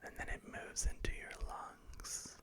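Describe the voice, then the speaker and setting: Man whispering a slow guided meditation. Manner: slow, soft, repetitive reassurance.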